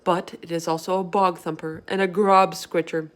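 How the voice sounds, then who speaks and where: Woman voicing an excitable, kindly storybook giant. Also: lowish voice, normal speed, lightly acted. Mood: exasperated, dire. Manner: ungrammatical, exclamatory, whimsical made-up words. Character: kindly giant, excitable, anguished, with mangled folksy speech. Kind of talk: children's book character in a read-aloud.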